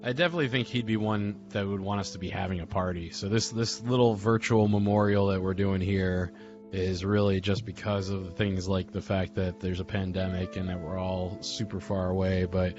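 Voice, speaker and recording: A man with a fairly low voice reminisces casually on a group call. His talk is informal and rambling, full of slang, with music playing underneath. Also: little pitch movement, normal speed, darkish tone, dull audio. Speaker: podcast host and audio drama group member.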